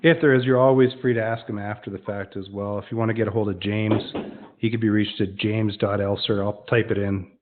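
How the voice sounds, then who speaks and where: A man's voice: on the low side, little pitch movement, a little fast, a little hiss or hum. A man presenting an informal online demonstration, explaining conversationally and often restarting his sentences. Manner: conversational, explanatory, frequently self-correcting. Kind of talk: informal webinar presentation with question and answer. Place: online web conference. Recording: dull, lo-fi online webinar audio.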